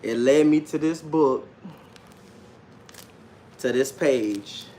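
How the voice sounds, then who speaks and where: A man's voice: medium pitch, extremely slow, mellow, a little hiss or hum. A man in his late thirties giving a casual, unscripted livestream monologue in a self-assured, slangy, repetitive way. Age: late 30s. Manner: self-assured, slangy, repeats himself, often says "man". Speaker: livestream host and self-published author.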